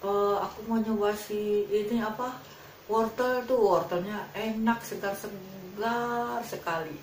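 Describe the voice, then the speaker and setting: A woman's voice: medium pitch, fairly even intonation, slow pace, voice pushed up, lightly acted. A woman voicing a cheeky, greedy little mouse deer in a children's fable, chatty and sing-song with exclamations. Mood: gleeful, greedy. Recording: roomy, distant mic, faint noise.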